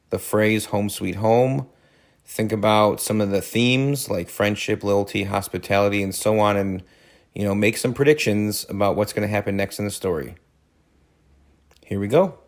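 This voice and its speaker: A man's voice: on the low side, normal speed. A man reading a story aloud as a teacher, adding informal explanatory asides.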